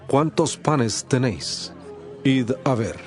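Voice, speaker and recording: An actor playing an authoritative male teacher in a dramatized scripture reading, solemn and deliberate. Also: medium pitch, animated, darkish tone. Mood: calm command. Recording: clear music bed under voice. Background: music.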